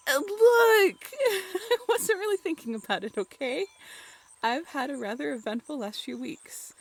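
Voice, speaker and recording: A woman voice actor playing a playful, teasing young woman in an intimate, conversational roleplay audio drama, expressive and a little halting. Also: sits high. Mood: sheepish, amused.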